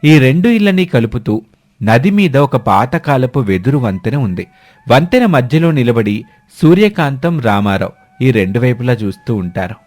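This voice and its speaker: A man's voice: mid-range voice, very animated, moderate pace, a little mellow. A man narrating a scripted story. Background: background music.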